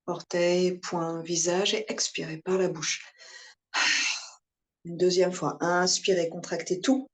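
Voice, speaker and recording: Slow, even-toned woman guiding relaxation. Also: lowish voice. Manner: calm, soothing, instructing in short pauses. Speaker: yoga nidra instructor. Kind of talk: guided relaxation meditation.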